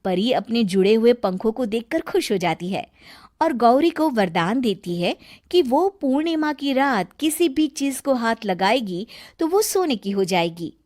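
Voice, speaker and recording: A woman's voice: fairly high pitch, very animated, a little slow, darkish tone. A woman narrating a scripted moral story for a cartoon, as a storyteller.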